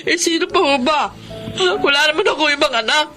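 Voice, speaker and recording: A woman's voice: high-pitched, a little fast, faint music behind. An actress playing a hardworking, hurt single mother, speaking expressively in a Filipino accent in an emotional drama. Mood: tearful, hurt. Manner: emotional, expressive, plain-spoken. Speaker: actor in a drama. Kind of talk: emotional Filipino radio-style drama acting.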